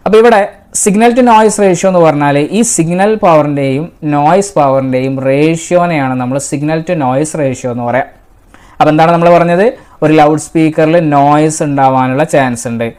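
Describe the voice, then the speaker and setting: Female instructor, Malayali accent, low-pitched voice, didactic exam-prep lecture delivery with deliberate emphasis on key points. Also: darkish tone. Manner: didactic, asks and answers own questions, repeats key points. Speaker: engineering instructor.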